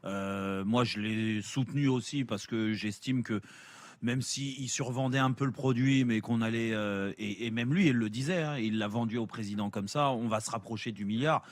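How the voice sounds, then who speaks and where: A man's voice: mid-range voice, moderate pace. A man co-hosting a radio football debate, speaking informally and challenging the guest. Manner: informal, pushes back. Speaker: co-host. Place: radio studio.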